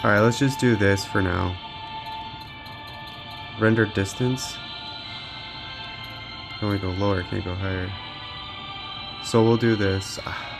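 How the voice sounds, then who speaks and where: A man's voice: lowish voice, steady tone, unhurried, creaky, long pauses, music in the background. A man giving casual, off-the-cuff commentary as he tests gaming gear, muttering to himself as he works. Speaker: gaming handheld reviewer.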